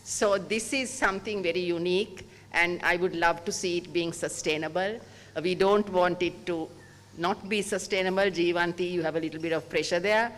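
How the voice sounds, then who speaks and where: A man's voice: high-pitched, fairly even intonation, normal speed, fairly bright tone. A man, a chairman giving praising closing remarks at a panel. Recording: reverberant hall with faint noise.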